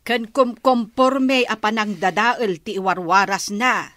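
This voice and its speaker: A woman's voice: medium pitch, a little slow, fairly bright tone, no room sound, natural voice. An actress playing a disapproving, gossipy village woman in a radio comedy drama.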